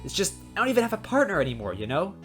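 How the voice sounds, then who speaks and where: A man voicing an excitable young female cop who stammers and gushes in an amateur story reading. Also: high-pitched, racing, soft background music, pitched above the performer's own voice, heavily acted. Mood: flustered, nervous. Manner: stammering when flustered, gushing when excited. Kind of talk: amateur story read-aloud.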